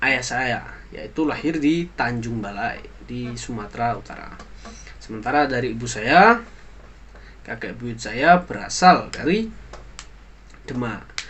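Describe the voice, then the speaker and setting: A young man, a university student, giving an earnest spoken opinion for a class assignment, halting now and then with fillers and restarts as he moralizes. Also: mid-range voice, fairly bright tone, a little hiss or hum. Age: young adult.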